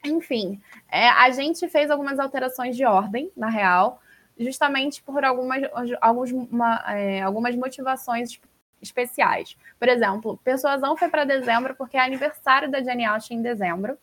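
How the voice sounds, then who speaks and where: A woman with a Brazilian accent hosting a casual, informal book podcast in an animated, slangy way. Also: a little high. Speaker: podcast host. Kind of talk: casual chat about books.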